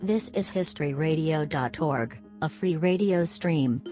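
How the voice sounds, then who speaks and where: A woman giving a calm, scripted station announcement. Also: deep voice, unhurried, over music.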